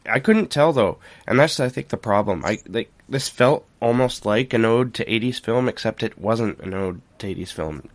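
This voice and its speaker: A man's voice: fairly low pitch, animated, normal speed, hardly any pauses. A man co-hosting a podcast in a casual, candid and informal film review chat. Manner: informal, candid, mildly profane. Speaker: podcast co-host.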